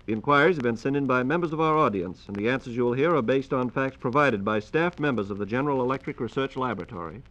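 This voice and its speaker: An American man announces in a formal 1940s radio style, speaking quickly and politely at a medium pitch. The recording is dull and narrow, like an old transcription disc. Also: fairly bright tone. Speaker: radio program host and announcer.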